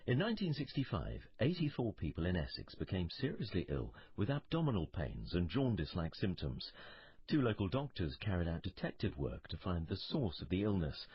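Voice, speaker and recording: Male broadcast announcer, scripted introduction, deep voice, slightly dull lo-fi recording. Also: huge pitch swings, a little fast. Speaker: radio continuity announcer.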